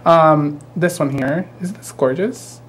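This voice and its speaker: A man's voice: on the high side, slow, a little hiss or hum. A man with a Mexican American accent demonstrating a craft project live, chatty and easygoing with frequent ums. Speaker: craft instructor.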